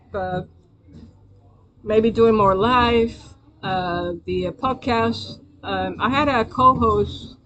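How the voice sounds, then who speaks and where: A woman's voice: medium pitch, a bit bright, muffled recording. Rambling woman host with Spanish accent. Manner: rambling, hesitant, many fillers and restarts. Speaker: livestream host. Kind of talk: casual live-stream chat to viewers.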